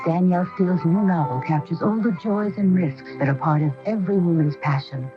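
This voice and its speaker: A woman's voice: on the low side, fairly quick. A woman announcer reading a scripted radio advertisement for a book. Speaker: commercial announcer. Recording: dull AM radio, loud music behind.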